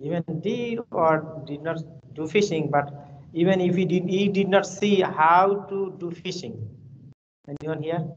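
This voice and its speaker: A man with a Bangladeshi accent, English his second language, lectures a class over a video call. He rambles and repeats himself as he tells stories. His voice sits a little high, and the audio is dull and lo-fi with a faint hiss. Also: a little slow. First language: Bengali. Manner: rambling, repetitive, friendly storytelling. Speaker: university lecturer. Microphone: computer mic on a video call.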